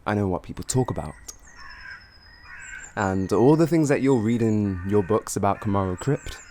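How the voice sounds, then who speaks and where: A man's voice: on the low side, animated, dark tone, a little hiss or hum, natural voice. A man playing a cocky, flirty, streetwise bandit in an improvised fantasy roleplay, with teasing, theatrical delivery. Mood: condescending, warning. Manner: teasing, theatrical, drawn-out phrasing with chuckles.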